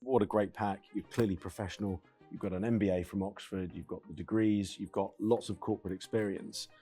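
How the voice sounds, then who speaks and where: Low husky guy grilling a pitch, straight to the point. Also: steady tone, fairly quick, warm tone, faint music behind. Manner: direct, probing. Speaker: investor panelist. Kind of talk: probing questions.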